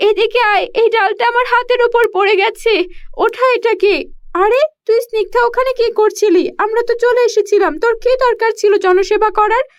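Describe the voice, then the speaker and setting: A woman's voice: very high voice, steady tone, brisk, voice pushed up. A woman playing a poor kind-hearted village girl. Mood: insistent. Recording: dull lo-fi audio.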